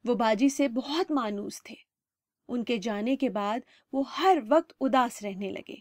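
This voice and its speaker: A woman storyteller narrating a children's story in an expressive way. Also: on the high side, no echo, studio quality.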